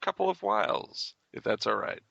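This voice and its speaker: Male podcast host, enthusiastic and upbeat, forceful tone, close dry sound. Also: medium pitch, very animated, moderate pace, creaky, breathy edge, dry recording, lo-fi sound. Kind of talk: upbeat fan podcast hosting.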